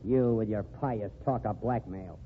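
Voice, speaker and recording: An actor playing a bitter, threatening fired reporter in an old radio drama. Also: male voice, lowish voice, measured pace, natural voice. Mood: menacing. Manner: sneering, threatening. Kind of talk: 1940s radio acting. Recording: tinny lo-fi old radio transcription with faint hiss.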